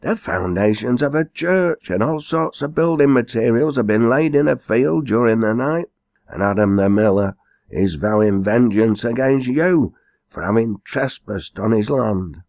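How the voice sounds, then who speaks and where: A male narrator becomes an excited village messenger in a folk tale. He is a rustic man calling out urgently, his pitch lifted well up, in a dry recording. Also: measured pace, dry recording, lightly acted.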